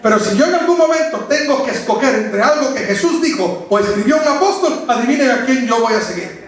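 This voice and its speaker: High-pitched male preacher in echoing room. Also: expressive, a little fast. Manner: emphatic, didactic, repeats phrases for stress, asks rhetorical questions. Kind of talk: doctrinal sermon to a congregation. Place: echoey room, preaching before a congregation. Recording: very echoey, reverberant room.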